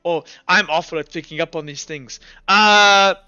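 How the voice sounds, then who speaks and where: A man acts out a kindly old mentor in a tabletop game, avuncular and halting, reassuring those around him. The voice is a little high and bright, captured clean and close. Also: clean tone, dry recording, dull audio. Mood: genial, musing.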